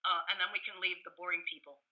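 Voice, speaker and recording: A woman's voice: on the low side, level tone, fast talker, dull audio. A middle-aged or older woman, an academic guest in a conversational interview, talking emphatically and enthusiastically and repeating words mid-thought. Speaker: professor and author, podcast guest.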